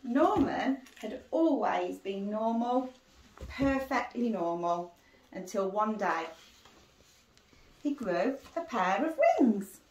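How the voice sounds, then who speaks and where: A middle-aged woman, a primary school teacher, reading a picture book aloud to children in an expressive, deliberate storytelling manner, recorded on a phone indoors. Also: medium pitch, highs cut off. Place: small cosy sitting room indoors. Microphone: handheld phone a short distance away. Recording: roomy echo, phone recording.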